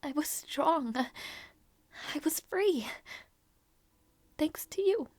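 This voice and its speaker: High-pitched grieving young princess, voiced by a woman. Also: lively intonation, darkish tone, dry recording, exaggerated voice. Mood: wistful, longing. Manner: halting, repeats phrases, emotional. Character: grieving young princess, devoted and stubbornly hopeful. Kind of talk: dramatic audio roleplay monologue.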